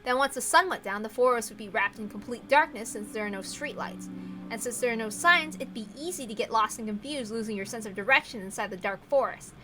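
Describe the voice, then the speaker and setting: A woman reading a visual novel aloud as expressive scripted narration. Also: on the high side, a little fast. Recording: music playing behind the voice. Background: background music.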